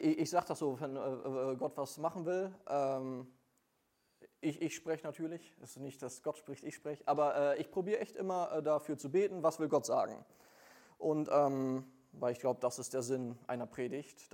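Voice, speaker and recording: Hesitant young preacher with a mellow voice. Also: male voice, fairly high pitch. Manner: hesitant, many fillers, plain earnest delivery. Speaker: lay preacher and missionary. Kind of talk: informal church sermon with prayer.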